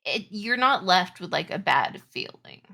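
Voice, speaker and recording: A woman with a slightly low, bright, clear-cut voice runs a tabletop game. She narrates slowly and haltingly, feeling for each word as she improvises the scene. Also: big pitch swings. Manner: halting, searching for words, repeats "like". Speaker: game master.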